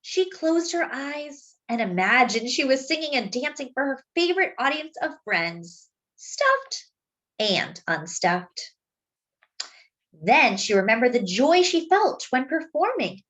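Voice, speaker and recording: High, lively woman reading a picture book. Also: low-quality recording. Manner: animated, deliberate phrasing with questions to listeners. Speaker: children's story reader. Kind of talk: expressive picture-book read-aloud with asides to young listeners.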